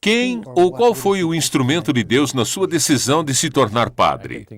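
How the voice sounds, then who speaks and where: A man's voice: on the high side, very animated, moderate pace. A man voicing an interviewer in a dubbed interview, asking his questions politely and with curiosity. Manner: polite, inquisitive. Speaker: interviewer voice-over.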